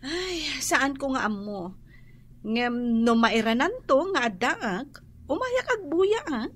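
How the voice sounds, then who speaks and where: A woman's voice: high-pitched, sing-song, takes it slowly, full character voice. A woman with a Filipino accent playing an easygoing woman in a radio drama. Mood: breezy.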